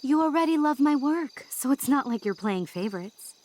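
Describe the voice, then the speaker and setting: A woman's voice: mid-range voice, moderate pace, darkish tone, lightly acted. Woman playing snobby scheming american school girl, coy and haughty, video game voice, faint noise behind. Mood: coy, pushy. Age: young adult. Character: scheming, entitled, manipulative rich-girl student.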